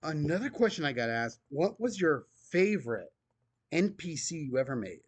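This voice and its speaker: Calm man with a somewhat high voice. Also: normal speed, breathy edge, no room sound. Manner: calm, even-tempered talker. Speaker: game master, video creator. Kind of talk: casual podcast chat.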